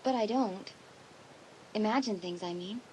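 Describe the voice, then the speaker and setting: A woman's voice: medium pitch, a little slow, dark tone, a little hiss or hum, lightly acted, poor audio. A woman playing an uneasy, moody teenage girl in a dramatised audiobook, with a hesitant, defensive way of talking. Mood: sullen.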